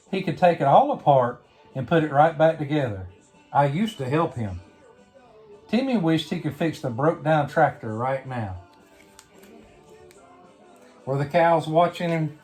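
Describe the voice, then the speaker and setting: A woman reading a picture book aloud to a young child, pausing to ask simple questions. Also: deep voice, a little mellow. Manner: simple questions and prompts addressed to a small child. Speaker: bedtime story reader.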